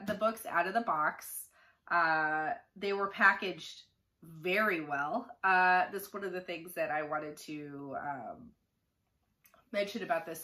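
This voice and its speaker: A woman's voice: medium pitch, sharp tone. A middle-aged woman chatting casually to camera as an unboxing host, informal and opinionated, with a nasal voice stuffed up from the flu. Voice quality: nasal, congested. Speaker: book reviewer hosting an unboxing. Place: small furnished room indoors.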